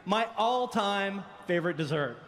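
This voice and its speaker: A man with a high voice gives a stage announcement. He builds deliberately and teasingly toward his point. Long hall reverb and clear background noise surround him. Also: expressive. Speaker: event presenter. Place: large event hall, on stage.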